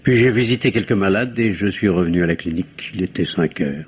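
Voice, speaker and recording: An actor playing a composed doctor being questioned by police, answering in a measured, slightly defensive way. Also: male voice, low-pitched, a little hiss or hum, lightly acted. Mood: guarded. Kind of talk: 1950s radio drama acting. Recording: dull lo-fi archive radio recording.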